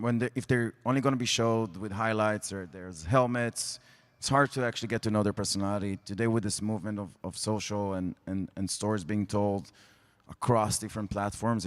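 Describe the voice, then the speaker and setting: A man with an Israeli accent talks on a conference panel in a low voice, stopping and restarting his sentences and repeating words as he works through a point. His voice rings out with the long reverb of a large conference hall. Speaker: panelist, sports media executive. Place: conference stage.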